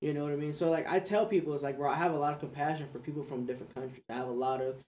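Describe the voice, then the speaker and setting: A man's voice: a little high, steady tone, racing, a little mellow. A young man chatting casually on a podcast, earnest and slangy, often repeating himself for emphasis. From United States. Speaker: podcast speaker. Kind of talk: casual chat.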